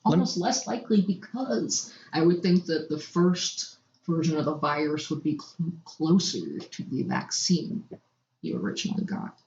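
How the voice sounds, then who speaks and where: A woman's voice: deep voice, animated, moderate pace, a little mellow, slight background noise. A woman co-hosting a podcast, bantering and improvising in a casual, playful way. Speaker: podcast co-host. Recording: echoey room, dull lo-fi audio.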